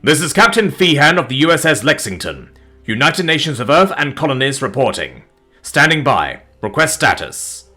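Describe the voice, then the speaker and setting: A man voicing commanding military officers from a fantasy war story, reporting in with clipped, forceful, projected delivery. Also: mid-range voice, sing-song, normal speed, faint music behind, pitched above the performer's own voice. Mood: crisp, commanding.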